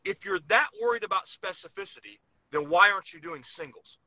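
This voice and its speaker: Male podcast co-host, casual but opinionated and emphatic, with a sharp, driving, raised-pitch voice. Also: lively intonation, fairly quick, dry recording, rough recording. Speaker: co-host, powerlifting coach.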